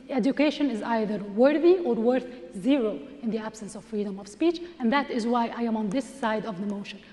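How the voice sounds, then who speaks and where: A woman's voice: fairly high pitch, a little mellow. A woman from Egypt giving a formal debate speech, impassioned and rhetorical, putting pointed questions to the audience. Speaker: debate panelist. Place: large auditorium. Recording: long hall reverb.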